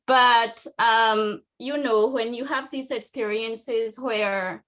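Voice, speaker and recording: A woman from Kenya with a Kenyan accent, an employee asking an earnest, measured question on a video call. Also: a little high, steady tone, a bit bright. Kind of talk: casual panel discussion.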